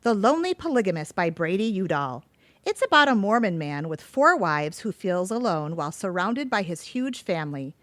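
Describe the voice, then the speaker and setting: An actress plays a bookish club member in a comedic audio play. Her voice is a touch low and rises and falls freely. She speaks earnestly, on a dry recording. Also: very animated, moderate pace, a bit bright, no room sound. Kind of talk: comedic audio drama acting.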